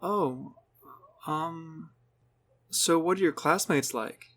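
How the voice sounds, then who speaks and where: A man voicing a gentle, encouraging young male pony, an older brother who speaks in a soft, coaxing, concerned way in a read-aloud story. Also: on the high side, takes it slowly, voice pushed up. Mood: gently coaxing.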